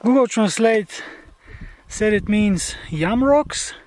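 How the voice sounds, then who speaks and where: Young male hiker vlogger, native Bulgarian speaker; unhurried, filler-heavy talk outdoors on a mountain trail. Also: high-pitched, animated, a little mellow, a little hiss or hum. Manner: slow, hesitant, many fillers. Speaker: hiking vlogger. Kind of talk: casual trail vlog.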